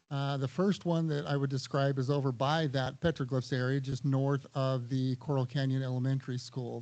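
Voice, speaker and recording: A man serving as an HOA operations director at a town hall meeting, losing his voice and clearing his throat, methodically giving spoken directions and correcting himself. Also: medium pitch, darkish tone, muffled recording.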